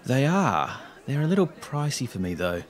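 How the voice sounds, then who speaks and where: A man's voice: medium pitch, big pitch swings, normal speed, lightly acted. A man playing an amiable, easygoing junior politician in an audio drama. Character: amiable human junior politician, a loyal friend.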